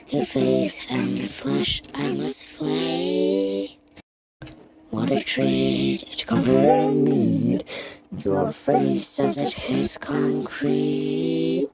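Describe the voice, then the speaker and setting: A sinister puppet from a horror game croons menacing rhymes. The voice drops low and gravelly, dragging each line out very slowly. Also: female voice, heavily acted. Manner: slow, drawn-out, sing-song rhymes.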